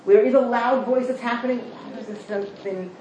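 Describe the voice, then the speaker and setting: A woman leading a study class, talking quickly and encouragingly, often asking "right?" and praising answers. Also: on the high side, steady tone, warm tone. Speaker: teacher leading a study session. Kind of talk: interactive class discussion. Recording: echoey room with background noise.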